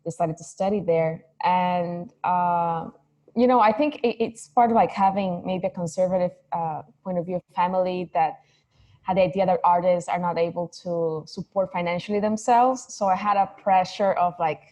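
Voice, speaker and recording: A woman in her thirties from Mexico, speaking an over a video call. Her voice sits low and mellow, and she talks thoughtfully, pausing and restarting as she searches for the right words. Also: steady tone. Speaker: filmmaker guest. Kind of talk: casual interview conversation.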